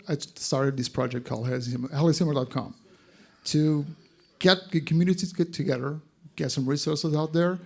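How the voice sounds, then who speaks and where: Portuguese man presenting, higher-pitched voice. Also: darkish tone. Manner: conversational, addresses the audience directly. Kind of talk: live conference presentation, informal. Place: in front of an audience at an expo.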